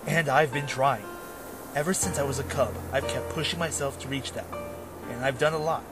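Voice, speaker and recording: A man's voice: medium pitch, fairly even intonation, normal speed, over music, natural voice. A man playing a jaded, self-doubting male werewolf knight turned drifter in a fantasy audio drama, with a weary, brooding manner. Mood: frustrated.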